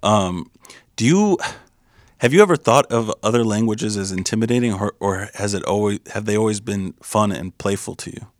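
A man's voice: fairly low pitch, lively intonation, moderate pace, no echo, clean studio sound. A man interviewing in a casual literary discussion, phrasing his questions in a hesitant, searching way.

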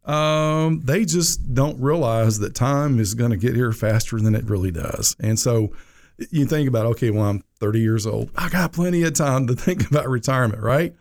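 A man's voice: medium pitch, moderate pace, a little mellow. A middle-aged man hosting a financial radio show, talking in a folksy, rambling way with lots of "you know". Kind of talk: casual radio talk.

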